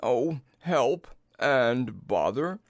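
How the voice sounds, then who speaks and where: Slow gentle bear, gloomy, dim-witted, creaky, storybook character. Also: male voice, medium pitch, expressive, no room sound, studio quality. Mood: flustered. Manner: slow, ponderous, drawn-out delivery.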